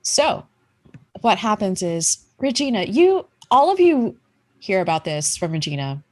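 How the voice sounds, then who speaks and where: A woman's voice: mid-range voice, sing-song, a little slow, darkish tone. A woman game master improvising narration for a tabletop game in a casual, conversational way.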